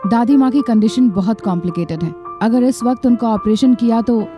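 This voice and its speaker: A woman's voice: a little high, a little fast, close to an everyday voice. A woman playing a grave, cautious senior doctor in an audio drama, speaking formally and firmly. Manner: formal, urgent, firm. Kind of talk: Hindi audio drama acting. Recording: loud music bed under voice. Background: dramatic background music.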